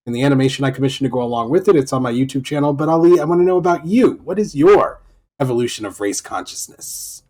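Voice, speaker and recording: A male podcast host in a casual interview speaks quickly and eagerly. His voice sits at an ordinary pitch for a man as he asks his guest questions and follows up on the answers. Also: expressive, darkish tone.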